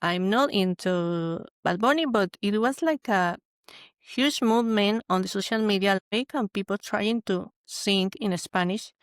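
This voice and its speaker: A woman from Mexico, a guest chatting casually and halting as she searches for words. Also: medium pitch, clear, resonant tone, no room sound, clean studio sound. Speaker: guest, metal journalist. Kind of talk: casual chat.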